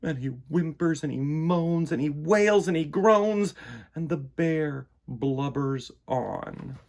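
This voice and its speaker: Guy doing kids storytime, playful and theatrical, pitch goes all over, bit of vocal fry. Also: lively intonation, slow, darkish tone. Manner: theatrical, playful, addresses young listeners directly. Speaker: children's storytime host and reader. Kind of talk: picture book reading and chat to children.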